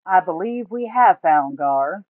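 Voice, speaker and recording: A woman voicing an easygoing male adventurer with a slow drawl. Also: fairly low pitch, expressive, dry recording, narrow, tinny audio, pitched above the performer's own voice.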